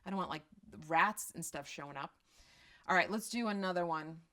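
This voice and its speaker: A woman's voice: on the low side, moderate pace, fairly bright tone. A middle-aged woman from Croatia with a slight Croatian accent, chatting casually about parenting and answering viewer questions on a live stream, candid and self-deprecating.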